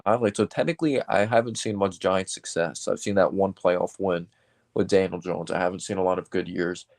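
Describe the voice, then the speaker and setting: A man's voice: deep voice, fairly even intonation, fairly quick, gravelly, no room sound, studio quality. A man of about twenty from Georgia in the US, chatting casually about sports, tentatively working through his thoughts with lots of "like.". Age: around 20.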